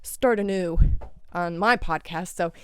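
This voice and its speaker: A woman's voice: lowish voice, a little slow, smooth, no room sound. A young woman hosting her own podcast, congested from a cold, talking in a rambling, candid way with lots of swearing and filler words. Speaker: podcast host. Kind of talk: casual solo podcast monologue.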